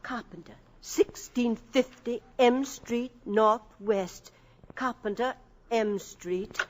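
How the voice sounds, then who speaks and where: An actress playing an indignant female secretary guarding a professor's study, sharp-toned, in 1950s radio drama style. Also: a little high, slow pace.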